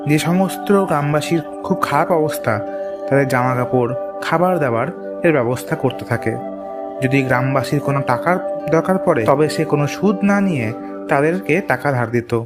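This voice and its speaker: A man's voice: fairly high pitch, expressive, normal speed. A man narrating a scripted moral tale for a cartoon. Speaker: story narrator. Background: music.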